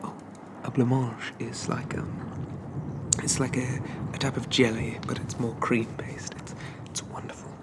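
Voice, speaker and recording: A man playing a shy, old-fashioned undead zombie gentleman in an ASMR roleplay, speaking in a soft, breathy half-whisper with hesitant, quaintly polite phrasing. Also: medium pitch, flat delivery, measured pace, natural voice. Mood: wistful, fond. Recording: constant loud background noise.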